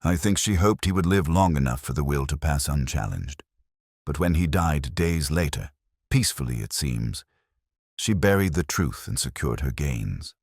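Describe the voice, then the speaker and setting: Man playing composed Victorian detective, storybook reading, very low husky voice, precise, emphatic. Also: animated, moderate pace, dry recording, clean studio sound, exaggerated voice. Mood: certain, grave. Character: analytical, composed Victorian male detective, coolly reasoning.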